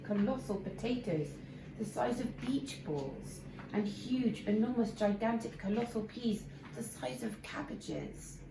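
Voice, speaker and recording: A woman narrating a children's story expressively, with deliberate, dramatic emphasis. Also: medium pitch. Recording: noisy amateur recording, clear background noise.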